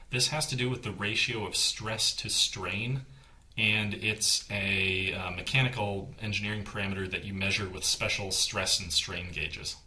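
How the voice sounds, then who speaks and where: A man delivers a technical lecture in a fairly low voice with a bright, forceful tone. He works as an engineering instructor would, explaining each point plainly and in measured steps.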